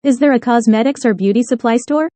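Woman reading lesson lines super slow, mellow tone, dry close mic. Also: on the high side, dry recording. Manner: measured, deliberate delivery. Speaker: language lesson reader. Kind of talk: scripted phrase reading.

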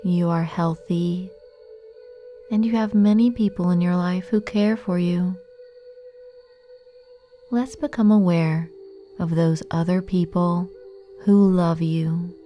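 A woman narrates a guided meditation very slowly in a dark, relaxed voice. Her delivery is gentle and soothing, with long pauses between phrases. Faint music plays behind her. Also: fairly low pitch.

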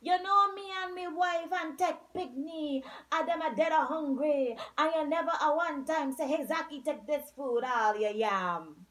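Storybook villager, played by a woman: thin, desperate man, loud, high and accusing. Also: measured pace, few pauses. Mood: indignant.

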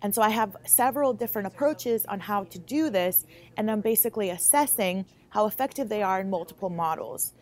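A woman's voice: mid-range voice, animated, brisk. A woman in her late twenties with an American accent, a student researcher giving articulate, explanatory interview answers. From Lithuania, moved to the US Midwest at about eight. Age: late 20s. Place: laboratory, indoors. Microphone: lapel mic.

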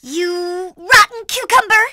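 A woman voicing an earnest, naive, well-behaved young girl in an animated series, speaking expressively. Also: sits high, normal speed, dry recording, studio quality. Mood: defiant.

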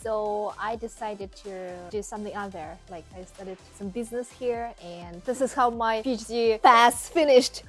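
A woman in her thirties from Uzbekistan, native Russian speaker, a guest in a casual interview who laughs often and talks in an animated way. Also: medium pitch, moderate pace, faint music behind.

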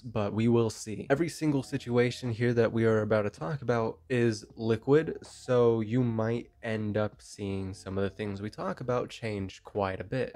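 A man's voice: mid-range voice, darkish tone, no echo. A young man in his twenties with a nasal voice from a cold, giving blunt, casual commentary into a handheld mic in a small bedroom. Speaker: commentary YouTuber. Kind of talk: drama commentary. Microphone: handheld microphone.

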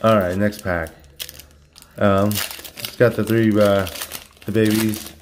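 A hoarse man, his voice giving out from illness, talks at a table at home in a flat, monotone way. He stops and restarts often, filling gaps with "uh" and "you know", in a casual home unboxing chat. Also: lowish voice, slight background noise. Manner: halting, many fillers and restarts, monotone. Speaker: card-opening channel host.

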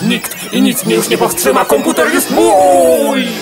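A man voicing a menacing, gloating computer virus in a children's audio drama, bombastic and drawn-out. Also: extremely high pitch, animated, over loud music.

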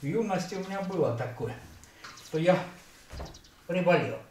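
A man's voice: sits high, expressive, moderate pace. A man in his fifties resting between lifts in a home gym, telling a story plainly and giving short replies. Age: 50s. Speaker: trainee. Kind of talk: casual chat between sets. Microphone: camera across the room. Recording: echoey room from far off, faint background noise.

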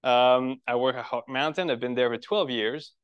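A man's voice: medium pitch, normal speed, clear, resonant tone, highs cut off. A man in his forties from Quebec with a French-Canadian accent, giving a friendly lecture to a birding club with rhetorical questions and restarted phrases. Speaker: biologist giving a public talk. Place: lecture room.

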